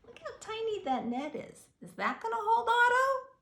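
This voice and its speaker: A woman's voice: very high-pitched, sing-song, moderate pace, creaky, a bit bright, reverberant. A woman reading a picture book aloud to children, expressively, with questions and asides to her young listeners.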